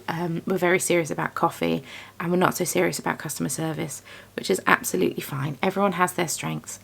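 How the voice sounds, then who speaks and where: Young woman rambling on while she works, low-ish gravelly voice, pokes fun at herself. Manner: rambling, self-deprecating chatter. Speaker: yarn dyer and small business owner. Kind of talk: casual chatty vlog voice-over. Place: home craft studio.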